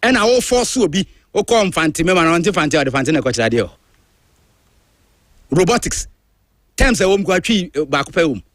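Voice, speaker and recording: A man from Ghana with a Ghanaian accent, hosting a radio drive show in a studio and talking in an emphatic, animated, opinionated way while switching between languages. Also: sits high, normal speed, long pauses, no room sound. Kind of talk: opinionated talk-radio monologue.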